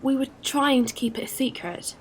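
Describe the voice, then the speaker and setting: A woman playing a caring, earnest space traveler in an audio drama, speaking with gentle hesitancy. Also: high-pitched, normal speed. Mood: guarded.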